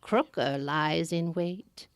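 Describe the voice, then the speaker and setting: A woman playing a mysterious, kindly old woman in a folktale, speaking deliberately and with foreboding. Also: low-pitched, clean tone, no room sound. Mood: mysterious, cautioning.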